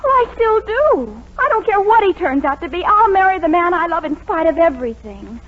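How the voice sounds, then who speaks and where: Very high-voiced lovestruck young daughter. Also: female voice, very animated, a little fast. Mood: earnest, pleading. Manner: earnest, pleading. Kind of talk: 1940s radio sitcom acting. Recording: dull, hissy 1940s radio recording.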